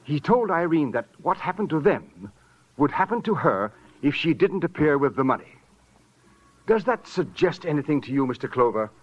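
An actor playing an earnest, self-important rich fiancé, polite and precise, in a 1940s-style radio drama. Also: male voice, on the high side, very animated, normal speed. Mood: anxious, earnest. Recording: dull, faintly noisy old radio recording.

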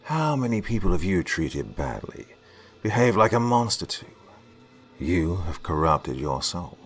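A man's voice: deep voice, sing-song, unhurried, slightly rough, exaggerated voice. A man playing a wicked, mocking carnival artist. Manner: mocking, stern, theatrical.

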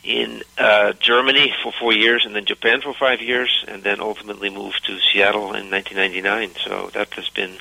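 A middle-aged man with a slight Dutch accent, a company founder answering interview questions in a measured way with many fillers. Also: on the low side, level tone, vocal fry, sharp tone, no room sound, poor audio. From United States. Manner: measured, filler-heavy storytelling.

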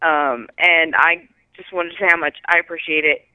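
A woman calling in by telephone to chat casually, speaking in a hesitant, halting way. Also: deep voice, fairly even intonation, clear, resonant tone, no echo. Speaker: caller leaving a listener message. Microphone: phone call. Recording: tinny, narrow phone line.

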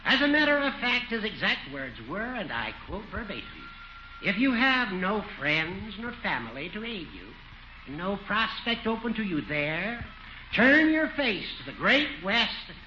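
An actor playing a sly, teasing old judge with a florid, oratorical manner in a 1940s radio comedy. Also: male voice, high-pitched, big pitch swings, measured pace. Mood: grandiose. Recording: muffled, hissy 1940s radio recording.

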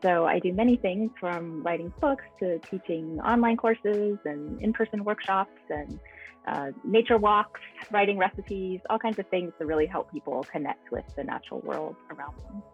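A woman's voice: on the low side, normal speed, faint music behind. A woman being interviewed as a guest, speaking thoughtfully and searching for her words. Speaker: naturalist and author, interview guest. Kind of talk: reflective interview answers. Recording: tinny phone-like line.

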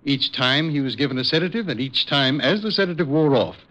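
An actor playing an educated, patient, dryly amused psychiatrist in an old radio drama, speaking in a measured, formal, precise way. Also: male voice, a little high, lively intonation, fairly bright tone. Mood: earnest, explanatory. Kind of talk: 1950s radio drama acting. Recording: dull, lo-fi old radio recording.

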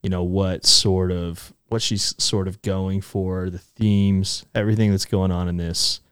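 A man's voice: low voice, flat delivery, measured pace, fairly bright tone, smooth, no room sound, studio quality. A man co-hosting a casual book podcast who rambles in a self-deprecating way and laughs as he talks. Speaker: podcast co-host.

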